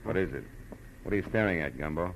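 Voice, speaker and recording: A man's voice: deep voice, animated, quick pace, slight background noise, poor audio. An American actor playing a wry, hard-boiled private detective in clipped, tough 1940s radio style, narrating and talking through his case. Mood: probing, cool. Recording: old radio recording, music behind.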